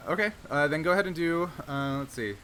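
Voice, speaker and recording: A man acting as game master, narrating a tabletop game and talking through rules casually, pausing as he works out rulings. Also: on the high side, pushed and bright. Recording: clear background noise.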